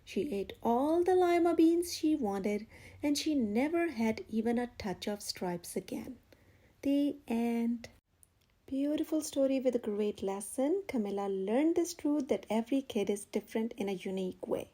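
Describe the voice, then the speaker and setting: A woman reading a children's picture book aloud as an expressive, dramatic storyteller. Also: on the high side, measured pace.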